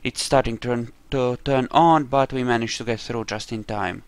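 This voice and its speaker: A man's voice: mid-range voice, moderate pace, smooth, no echo. A man casually narrating his own video game playthrough, thinking aloud in an offhand, sweary way.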